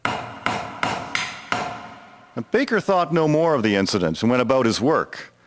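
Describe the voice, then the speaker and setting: A man's voice: medium pitch, very animated, unhurried, noisy background. A man narrating a scripted historical story as its storyteller. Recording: music behind the voice. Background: guitar music.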